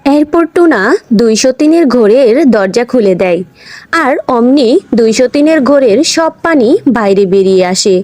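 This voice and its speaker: A woman's voice: on the high side, lively intonation, normal speed, darkish tone, no echo. A woman narrating a scripted fairy-tale cartoon as a storyteller.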